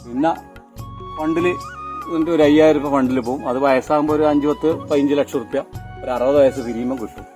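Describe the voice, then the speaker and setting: A man with a somewhat high voice speaks in a Kannur accent from northern Kerala. He explains his working trade informally, as a tapper showing how it is done. Instrumental music plays clearly behind him. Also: steady tone, fast talker, a little mellow. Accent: northern Kerala (Kannur) Malayalam. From Kannur region of Kerala, India. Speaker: toddy tapper. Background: instrumental background music.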